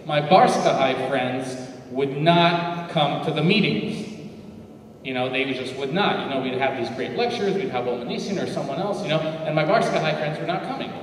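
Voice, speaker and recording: A man's voice: fairly high pitch, moderate pace, recorded from a distance, a little hiss or hum. Man speaking in an echoey hall. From United States. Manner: lively, anecdotal, addresses the audience directly. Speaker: forum panelist. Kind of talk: storytelling talk to an audience. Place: large hall at a community forum.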